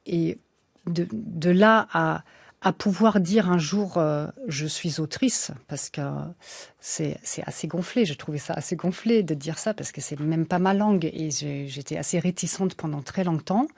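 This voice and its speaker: A woman's voice: deep voice, unhurried, vocal fry, darkish tone, no room sound. A woman who is not a native French speaker, an author speaking as a guest in a radio interview, hesitant with many fillers and restarts.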